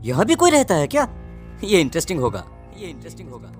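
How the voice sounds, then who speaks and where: Cartoon teenage son, played by a man: dismissive, insistent, with very lively pitch movement. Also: fast, soft background music. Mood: curious. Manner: carefree, insistent. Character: headstrong, dismissive eighteen-year-old village son. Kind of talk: animated storybook drama acting.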